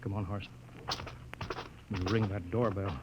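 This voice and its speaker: An actor with an American accent playing a skeptical, authoritative spaceship captain in a 1950s radio drama. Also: male voice, fairly low pitch, level tone, a little slow, lo-fi sound, natural voice. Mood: baffled, insistent. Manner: authoritative, clipped orders. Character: commanding, skeptical male spaceship captain insisting on logic. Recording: noisy old 1950s radio recording.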